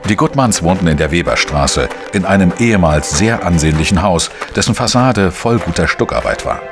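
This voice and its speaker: Low-voiced, wry investigative journalist for crime audio drama; laconic yet expressively inflected. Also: male voice, animated, moderate pace, music in the background. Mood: brooding narration. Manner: sardonic, laconic. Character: dry, defiant male investigative journalist, narrating his case. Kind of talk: radio play dialogue and first-person narration.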